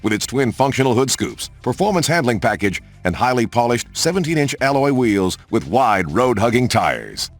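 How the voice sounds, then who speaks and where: Husky male promo narrator, lively pitch. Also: normal speed, hardly any pauses. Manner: polished, emphatic announcer delivery. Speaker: narrator of car showcase videos in a racing game. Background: music.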